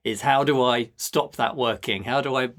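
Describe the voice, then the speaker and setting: A man's voice: medium pitch, moderate pace, a bit bright. A man hosting a casual interview, engaged and reflective as he picks up on his guest's ideas. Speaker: podcast host, fiction writer.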